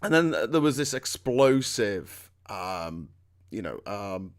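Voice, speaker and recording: A middle-aged man with a Scouse accent, hosting a casual chat in a loud, excitable way and laughing often. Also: lowish voice, slow pace. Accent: Liverpool (Scouse). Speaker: host.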